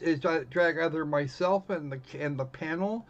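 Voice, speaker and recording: Rambling man, higher-pitched voice, dull recording. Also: normal speed. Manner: rambling, self-correcting. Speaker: live stream host. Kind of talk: casual livestream chat while gaming.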